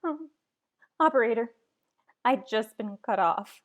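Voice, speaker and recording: A woman's voice: on the high side, lively intonation, measured pace, muffled recording. An actress playing a nervous, demanding invalid wife in a suspense radio play, flustered and insistent as she talks. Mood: shaken, pleading. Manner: fretful, insistent, flustered, stammering. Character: frantic, imperious, nervous invalid wife home alone. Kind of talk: old-time suspense radio play acting.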